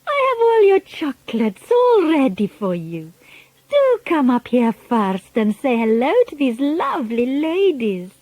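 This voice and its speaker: A woman's voice: sits high, sing-song, a little slow, a little mellow, no room sound, pitched above the performer's own voice, full character voice, poor audio. A woman voicing the imperious, cruel leader of the witches in a storybook reading, with a grand and cold commanding manner, on a dry, close recording. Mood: cooing.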